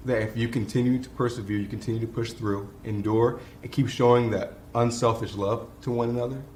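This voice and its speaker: A man's voice: mid-range voice, steady tone, a little fast, a little mellow, echoey room, slight background noise. A man in his twenties, reflective and earnest, sharing his family's story in a testimonial interview. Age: 20s.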